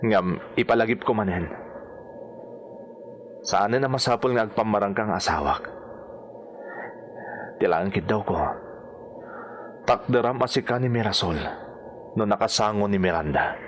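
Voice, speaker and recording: A man's voice: fairly low pitch, unhurried, pauses often, slight background noise, natural voice. Man acting assured, persuasive radio drama leading man. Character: confident, solicitous man guiding a devoted female accomplice. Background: background music.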